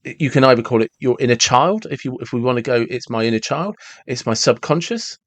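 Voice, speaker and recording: A man's voice: mid-range voice, expressive, fairly quick, a bit bright, no room sound. A man in his forties talking reflectively and casually as a podcast host, often repeating and rephrasing himself as he goes. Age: 40s.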